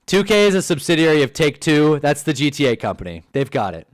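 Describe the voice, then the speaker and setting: A man's voice: a little high, fast. A man in his twenties with a Californian accent, hosting a live stream and telling stories casually. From Southern California. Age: 20s. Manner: animated, swearing, storytelling. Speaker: streamer host.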